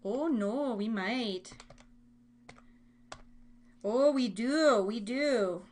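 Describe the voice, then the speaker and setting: Female live-stream game host, American, higher-pitched voice, casual and chatty, playful with the audience. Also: very slow, darkish tone. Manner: playful, chatty, giggly asides to listeners. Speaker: live show host running a trivia game.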